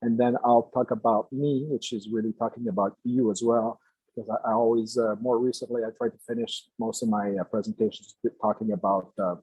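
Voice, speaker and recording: An older man from Quebec whose first language is French, giving a guest lecture to a class in a halting way, with many restarts and fillers. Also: on the low side, steady tone, darkish tone, slightly rough, no room sound, low-quality recording. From Quebec, Canada.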